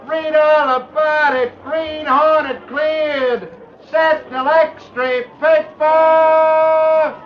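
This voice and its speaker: A street newsboy in a vintage radio drama. The cry is extremely high and stretched out as he hawks papers. It comes from far off on a dull, noisy recording. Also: little pitch movement, heavily acted. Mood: urgent hawking. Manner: drawn-out hawking cry. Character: young street newsboy hawking extra papers. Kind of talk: old-time radio acting.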